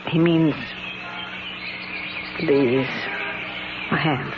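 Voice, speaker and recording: A woman's voice: deep voice, fairly even intonation, extremely slow. An actress playing a bitter, guarded wealthy woman with a proud, clipped manner in a radio drama. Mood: quiet, resigned. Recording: muffled radio drama recording with loud noise.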